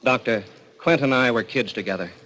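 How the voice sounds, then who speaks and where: A man's voice: medium pitch, brisk, fairly bright tone, lightly acted. An actor playing a loyal, earnest young man in a 1940s radio drama, with an urgent, plain-spoken delivery. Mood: earnest, insistent. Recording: reverberant, noisy vintage 1940s radio recording.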